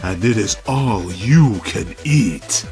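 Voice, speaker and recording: A man voicing a smug tough action-hero cat, gravelly. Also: lowish voice, lively intonation, a little mellow, soft background music. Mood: taunting. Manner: smug, deliberate, stilted one-liners. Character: cocky, quipping male tough-guy action-hero cat.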